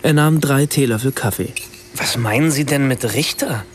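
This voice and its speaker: An actor as an observant writer, narrating in the first person for a radio play with precise, understated literary phrasing. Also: male voice, medium pitch, normal speed, a little hiss or hum, close to an everyday voice. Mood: composed, observant. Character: observant German writer, husband and father, narrating a visit.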